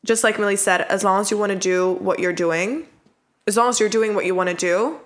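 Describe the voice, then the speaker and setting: A woman's voice: mid-range voice, a little fast. A woman in her mid-twenties co-hosting a podcast, giving frank, reassuring advice in casual chat. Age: mid-20s. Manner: candid, animated storytelling with quoted inner thoughts. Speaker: podcast co-host.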